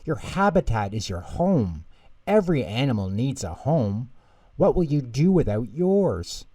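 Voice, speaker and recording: Storybook schoolboy man performing: caring, eager, exclaiming, swooping pitch, slow delivery. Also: breathy edge, no room sound. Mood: dismayed. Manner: expressive, exclaiming. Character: earnest young schoolboy, caring, eager and easily worried. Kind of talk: children's storybook reading.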